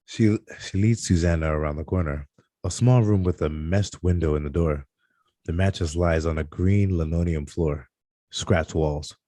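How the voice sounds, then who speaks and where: A man reads out screenplay stage directions as narrator. His voice is deep and mellow, with a dry, close sound. The delivery is halting, tripping on the odd word. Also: no room sound. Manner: halting read-aloud with stumbles over words. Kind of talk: scripted narration at a table read.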